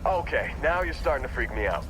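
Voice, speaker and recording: A man's voice: mid-range voice, sing-song, a little fast, background noise, natural voice. An actor playing an easygoing but jittery male miner sidekick in a video game, talking in casual, sarcastic asides. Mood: uneasy, sarcastic.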